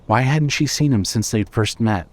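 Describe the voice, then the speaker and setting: Measured male horror narrator. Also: mid-range voice. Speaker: narrator of an audio fiction series. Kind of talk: scripted horror fiction narration.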